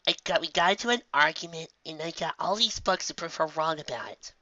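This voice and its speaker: A narrator voicing an excitable, earnest young female unicorn pupil in a fantasy story, with flustered, exclaiming delivery. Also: mid-range voice, brisk, dry recording, dull audio, pitched above the performer's own voice, close to an everyday voice. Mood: sheepish.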